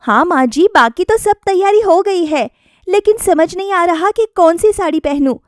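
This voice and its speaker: A woman's voice: very high voice, expressive, normal speed, dry recording, studio quality, pitched above the performer's own voice. A woman voicing a wistful young daughter-in-law eager to please, earnest and plaintive, in a story. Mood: eager, dutiful.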